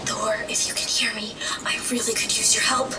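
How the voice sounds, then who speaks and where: A woman playing a plucky, wry superheroine in an audio drama, quietly muttering to herself in clipped phrases. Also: on the high side, little pitch movement, normal speed, whispered, lightly acted. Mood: urgent, hushed. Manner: quiet, clipped, self-coaching.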